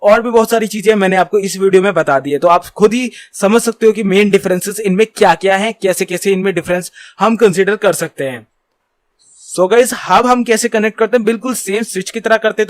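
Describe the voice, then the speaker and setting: A man's voice: high voice, no room sound. A man giving an informal tutorial as an online instructor, explaining points conversationally and mixing in English technical terms. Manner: reads English points slowly and deliberately, then explains conversationally.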